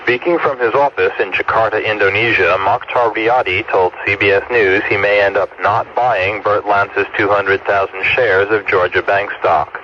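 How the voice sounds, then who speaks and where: A low-pitched man reads a scripted correspondent's report. The feed is dull and clearly noisy, like a long-distance radio link. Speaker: foreign news correspondent.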